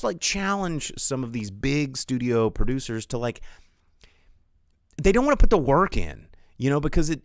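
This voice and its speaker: A man talks off the cuff like the host of a live show, opinionated and slangy. His pitch moves around a lot as he slips into imagined quotes. The sound is dry and close, with casual, conversational phrasing. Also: dry recording.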